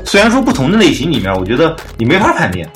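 A man's voice: on the high side, huge pitch swings, racing. A man hosting a casual music podcast, talking through his opinions in an informal discussion.

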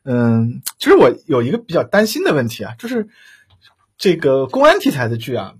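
A man hosting an unscripted interview, asking questions in a roundabout, halting way and repeating himself. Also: medium pitch, huge pitch swings, slightly rough. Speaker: host.

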